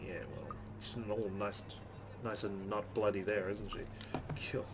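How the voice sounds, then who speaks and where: A man's voice: fairly low pitch, little pitch movement, unhurried, background noise. A man hosting a let's play, reading game narration aloud dramatically and adding muttered, sweary asides. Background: game music.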